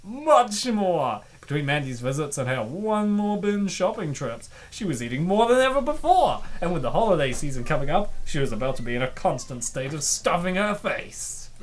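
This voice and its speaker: A man with a lively, widely swinging voice narrates a comic dub. He breaks in with sarcastic, exclamatory reactions as a casual co-host. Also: on the high side, normal speed, hardly any pauses.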